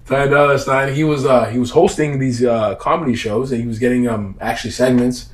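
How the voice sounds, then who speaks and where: A young adult man talks casually as a guest in a conversational interview. His delivery is slangy and emphatic, with repeated phrases, at an average male pitch. The room around his voice is clearly echoey. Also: fairly even intonation, brisk. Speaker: interview guest, comedy event host.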